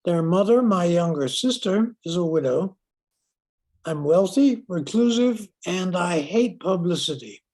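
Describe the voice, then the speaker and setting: An older man playing a wealthy, reclusive uncle in an old-time radio drama, speaking haltingly. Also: sits high, lively intonation, a little mellow. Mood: reserved.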